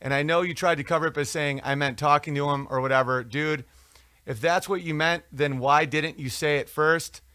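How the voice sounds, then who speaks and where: A man's voice: on the high side, steady tone, moderate pace, sharp tone, smooth, no echo, clean studio sound. A man hosting a podcast, talking in a casual, digressive, profane monologue as he reads out and answers comments. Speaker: podcast or livestream host.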